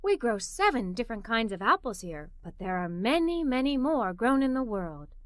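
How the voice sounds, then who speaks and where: A woman's voice: a little high, big pitch swings, moderate pace, dry recording, voice pushed down. A woman as a friendly storybook farm lady. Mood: friendly, informative. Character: friendly, informative woman farmer.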